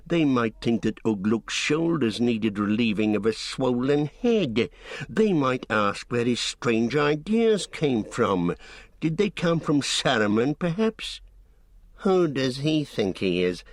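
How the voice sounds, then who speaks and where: Guy doing a sneery soft-spoken goblin, creepy and scheming, lifts up high when mocking. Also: no echo. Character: sly, evil goblin messenger, soft and scheming. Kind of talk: audiobook character voice.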